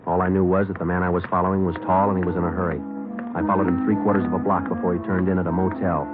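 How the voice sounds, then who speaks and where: A muffled vintage radio recording with music behind it carries a man acting a deep-voiced American detective sergeant. He is terse and deadpan, reporting his case in clipped, businesslike phrases. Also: very fast, lightly acted. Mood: terse, intent. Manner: deadpan, matter-of-fact, clipped. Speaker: lead actor and narrator. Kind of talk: 1950s radio crime drama acting, clipped narration.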